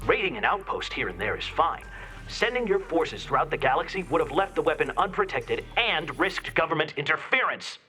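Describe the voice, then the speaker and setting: Indignant politician in a game; bright, tense delivery with wide swings, accusatory, music underneath. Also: male voice, on the high side, big pitch swings, fairly quick. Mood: angry, scolding. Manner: forceful, accusatory. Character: exasperated, indignant male senator in a conspiracy. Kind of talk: scripted video game cutscene acting.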